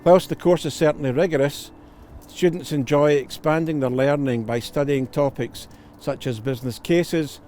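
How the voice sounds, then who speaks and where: A man with a warm, dark tone reads out a prepared testimonial in the role of a solicitor and tutor. His phrasing is formal and careful, over a light layer of background noise. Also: fairly high pitch, normal speed, hardly any pauses. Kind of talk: scripted promotional testimonial.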